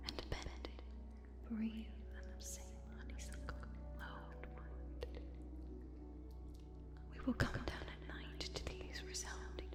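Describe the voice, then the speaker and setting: A woman whispering poetry in a gentle, hypnotic, dramatic ASMR style, as a gothic vampire hypnotist. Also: a little high. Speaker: ASMR performer. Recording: echoing layered whispers over music. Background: music.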